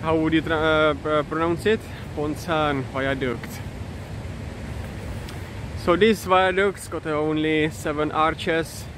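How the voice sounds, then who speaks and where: Man vlogging outdoors, halting speech. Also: fairly high pitch, fairly even intonation. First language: not English. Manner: hesitant, many fillers, halting pronunciation. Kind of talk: casual walking vlog commentary. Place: outdoors in countryside by a river. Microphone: phone held at arm's length. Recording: outdoor wind and stream noise.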